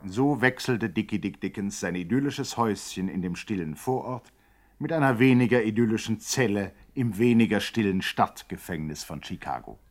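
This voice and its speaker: An actor playing a wry, mock-sensational narrator of a 1960s German radio crime comedy, emphatic and dramatic. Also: male voice, fairly low pitch, lively intonation, moderate pace. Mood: wry, grandiose.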